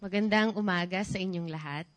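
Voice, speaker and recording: A Filipino woman speaks as a guest at a church service. Her voice sits slightly low for a woman, and her accent is Filipino. She delivers her testimony deliberately, as public speech. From Philippines. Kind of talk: church sermon and personal testimony.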